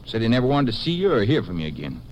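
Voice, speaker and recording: An actor playing a folksy, placating American rancher with a Western drawl, in a 1950s radio western. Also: male voice, mid-range voice, huge pitch swings, racing. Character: folksy, smooth-talking rancher, scheming older half-brother. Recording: noisy old radio recording.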